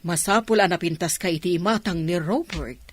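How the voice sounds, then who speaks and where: A woman's voice: fairly low pitch, a little fast, a little hiss or hum, natural voice. A woman playing a fussy middle-aged mother, coaxing and keen on appearances, in a radio drama.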